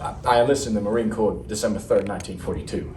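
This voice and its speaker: A man playing a haunted young Marine veteran, telling of war in a halting, stammering dramatic monologue with heavy pauses. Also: fairly low pitch, darkish tone, voice pushed down, lightly acted. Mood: earnest, uneasy. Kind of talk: serious dramatic interpretation monologue. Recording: roomy, distant mic, faint noise.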